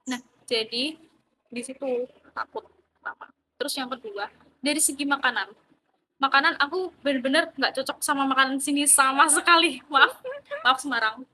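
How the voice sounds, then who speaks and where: A woman's voice: sits high, normal speed, pushed and bright, slight background noise, poor audio. A young woman from East Java with an East Javanese Indonesian accent, chatting animatedly about student life. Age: young adult. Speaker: vlogger, university student. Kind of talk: casual chat.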